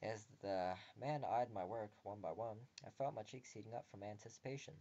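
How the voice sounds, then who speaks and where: A man reading a visual novel aloud and breaking off for crude, joking asides, sometimes muttered quietly. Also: fairly low pitch, brisk, muffled recording.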